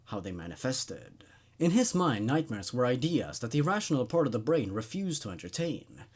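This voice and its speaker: Husky man narrating horror with lively pitch. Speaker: narrator, show host. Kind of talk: dramatic horror story narration.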